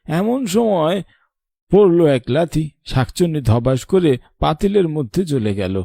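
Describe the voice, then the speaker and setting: Casting: male actor as weary, protective old grandfather for a cartoon; mellow, creaky voice, sharp tongue, soft heart. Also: a little high, very animated, unhurried, no echo, clean studio sound, full character voice. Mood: storytelling. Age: older. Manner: scolding, then tender storytelling. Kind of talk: cartoon voice acting.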